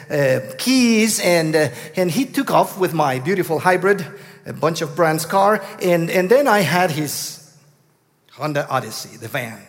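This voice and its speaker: A middle-aged man from Guatemala with a Guatemalan Spanish accent, telling a story as a guest preacher, halting and full of restarts. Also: sits high, long reverb tail. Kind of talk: storytelling sermon.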